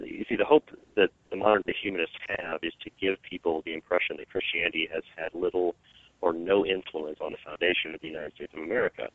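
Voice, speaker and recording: A hoarse man speaking as a guest on a radio interview, earnest and emphatic, often restarting his sentences. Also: sits low, no room sound. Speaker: radio show guest, evangelist. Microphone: phone. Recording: narrow phone line, dry.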